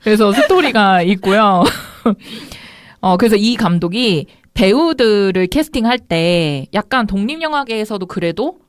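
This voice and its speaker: Woman host, animated pitch, dry close mic, chatty film talk. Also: unhurried, few pauses, no echo. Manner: laughing, lively storytelling. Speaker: podcast host. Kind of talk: casual film review chat.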